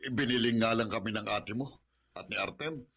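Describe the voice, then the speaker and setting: A man's voice: on the low side, brisk, gravelly, fairly bright tone, husky, heavily acted. A man acting a dismissive middle-aged father in a Filipino radio drama, blunt and scornful.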